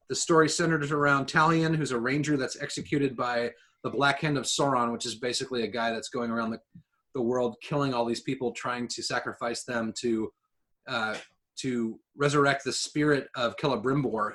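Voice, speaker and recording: A man co-hosting a podcast, talking in an enthusiastic, informal way as he pitches his ideas. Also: fairly even intonation, normal speed, fairly bright tone. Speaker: podcast co-host. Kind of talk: casual podcast chat.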